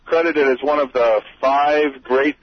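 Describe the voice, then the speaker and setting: A man's voice: medium pitch, fairly even intonation, measured pace. A man from Chicago calling in to a radio show, rambling with lots of stops and restarts and joking along. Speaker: caller. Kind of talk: phone-in chat. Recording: muffled radio broadcast of a phone call.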